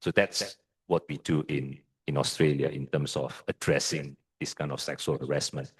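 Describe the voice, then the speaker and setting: A man with an Indonesian accent answers questions from a lecture audience. His voice sits low and slightly husky. He speaks in a measured, hesitant way, with frequent fillers and restarts. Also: steady tone. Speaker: guest lecturer.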